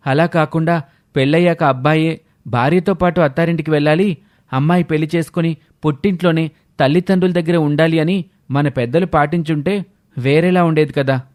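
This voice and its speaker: A man's voice: fairly high pitch, fairly even intonation, fairly quick, a little mellow, close to an everyday voice. A man playing a dutiful, questioning young student son, earnest and respectful, in an audio story. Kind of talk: scripted audio story dialogue.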